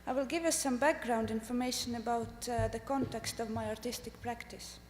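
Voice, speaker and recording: A woman from Estonia, a native Estonian speaker, giving a conference presentation about her art research, speaking carefully with many pauses and "uh" fillers. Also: fairly high pitch, steady tone. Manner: careful, halting delivery with frequent "uh" pauses. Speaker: artist presenting at a summit. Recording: echoey hall with faint hum.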